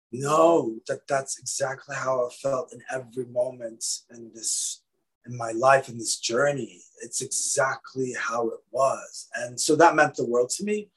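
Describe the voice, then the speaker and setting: A man from Lebanon, an actor guest, talking in a casual, animated way, often repeating his words. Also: medium pitch, low-quality recording. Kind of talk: casual interview chat.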